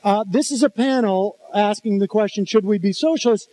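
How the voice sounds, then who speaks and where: Halting man moderating a stage panel. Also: sits high, dark tone. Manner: halting, many fillers. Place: large convention hall stage.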